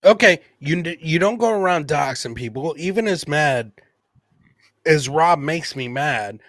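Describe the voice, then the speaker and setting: A man's voice: a little high, animated, a little slow, fairly bright tone, no room sound, studio quality. A man from Michigan with an American accent, hosting a casual live podcast chat in a blunt, brash way and swearing freely. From Michigan, United States. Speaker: host of a live comedy podcast.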